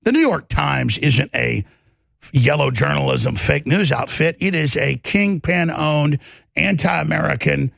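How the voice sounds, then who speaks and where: A man with a gravelly voice and a Texas twang, delivering impassioned political commentary in a confident, aggressive, emphatic way, piling up lists and rhetorical questions like a talk show host. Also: on the high side, lively intonation, unhurried, fairly bright tone, dry recording, clean studio sound. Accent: Texan.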